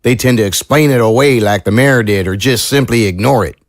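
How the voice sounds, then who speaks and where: An actor playing an earnest, troubled small-town sheriff in an audio drama, speaking in a folksy, sincere, measured way. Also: male voice, medium pitch, no room sound, clean studio sound, natural voice. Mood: grave, candid.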